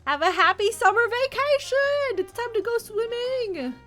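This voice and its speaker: A woman with a high voice chats informally on a game livestream. She rambles along expressively, speaking straight to her viewers. Faint music plays behind her. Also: expressive, measured pace, sharp tone. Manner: chatty, rambling, talking to viewers. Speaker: live game streamer. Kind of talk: casual livestream chat. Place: indoor home office.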